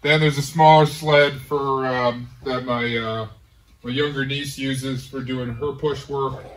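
A middle-aged man casually showing off his garage gym, talking in a relaxed, rambling way with many pauses. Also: mid-range voice, distant mic, slight background noise, low-quality recording. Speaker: home gym owner giving a tour. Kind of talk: casual walk-through tour. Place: large garage home gym.

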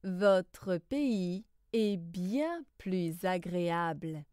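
A woman reading scripted lesson phrases slowly, in a deliberate, carefully enunciated way. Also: fairly low pitch, expressive, darkish tone, clear, resonant tone, dry recording, clean studio sound. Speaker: language lesson reader.

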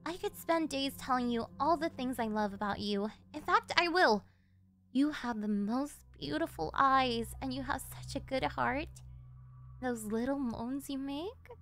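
Woman acting a high-voiced, possessive yandere girlfriend. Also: measured pace, fairly bright tone. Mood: apologetic, adoring. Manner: doting, pleading, swinging into threats. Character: clingy, possessive yandere delinquent girlfriend, sweet but menacing. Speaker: voice actress. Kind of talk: ASMR roleplay acting.

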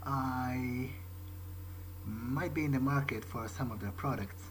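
A man's voice: mid-range voice, takes it slowly, a little hiss or hum. A man roleplaying in character as he plays a video game, thinking aloud in a hesitant, musing way. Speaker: gamer playing in character. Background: prominent game music.

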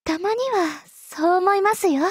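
An actress voicing a young sword maiden in an anime mobile game, polite and coy, with a teasing, possessively sweet manner. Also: female voice, extremely high pitch, unhurried, dry recording, studio quality. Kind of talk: Japanese anime mobile game character lines.

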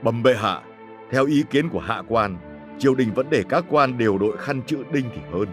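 A man's voice: mid-range voice, expressive, normal speed, faint music behind, natural voice. A man voicing a humble, careful minister in a historical cartoon, addressing a ruler with formal deference. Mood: deferential. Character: humble, careful court minister advising his emperor.

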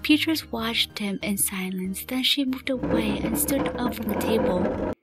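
A woman's voice: on the high side, unhurried. A woman reading scripted story narration as the narrator of a fan radio play. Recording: faint music behind. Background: faint background music.

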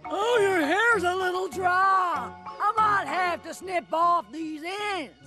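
A woman voicing a brawny, bossy, girlish cartoon woman who shouts and gushes in a domineering way. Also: extremely high pitch, takes it slowly, music in the background, full character voice. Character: brawny hulking bossy girlish woman obsessed with lip gloss.

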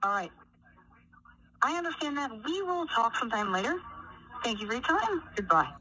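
Very bright female telemarketer on the phone. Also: a little high, sing-song, moderate pace. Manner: polite, formulaic. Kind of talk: scripted sales call. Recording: noisy telephone call.

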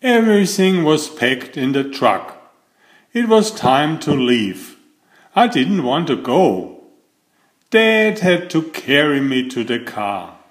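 An older man reading aloud as a children's storyteller, with slow, deliberate, expressive phrasing. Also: on the high side, echoey room. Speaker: children's book reader and host.

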